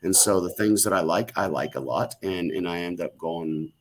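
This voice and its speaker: A middle-aged man with a very low voice talks in a loose, unscripted way. He is blunt and curses often, doubling back on his words as he goes. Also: moderate pace, slight background noise. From United States. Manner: blunt, frequent swearing, restarts. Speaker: podcast host. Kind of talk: casual chat.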